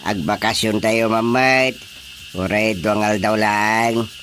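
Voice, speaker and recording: A man's voice: fairly low pitch, fairly even intonation, takes it slowly, fairly bright tone, a little hiss or hum. A man with a Filipino accent acting a chatty, friendly fellow in a radio drama. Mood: eager.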